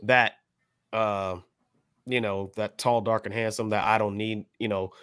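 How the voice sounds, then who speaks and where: A man's voice: fairly low pitch, level tone, measured pace, a bit bright, smooth, no room sound, studio quality. An American man giving casual album commentary as a panelist, rambling and leaning on "you know". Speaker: podcast panelist.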